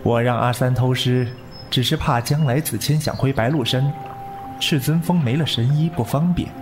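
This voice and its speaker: An actor playing a proud, devoted young martial-arts man in a wuxia audio drama, measured, earnest and quietly intense. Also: medium pitch, soft background music, natural voice. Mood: restrained hurt.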